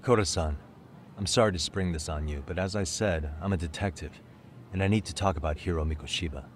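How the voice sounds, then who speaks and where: An actor playing a calm, streetwise private detective in a video game dub, with a cool, probing, wry delivery. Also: male voice, sits low, brisk, slight background noise, close to an everyday voice. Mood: gentle, questioning.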